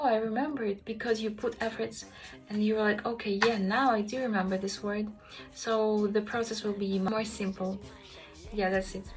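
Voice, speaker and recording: A woman's voice: mid-range voice, low-quality recording. Chatty young woman. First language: Russian. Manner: chatty, many fillers, speaks to viewer directly. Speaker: language-learning vlogger. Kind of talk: casual vlog tips to camera. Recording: music playing underneath.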